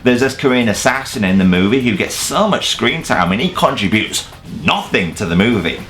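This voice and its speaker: A man's voice: lowish voice, lively intonation, normal speed, a bit bright, slight background noise. A man hosting a countdown review, delivering semi-scripted commentary in a jokey, casually sweary way. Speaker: video reviewer and host.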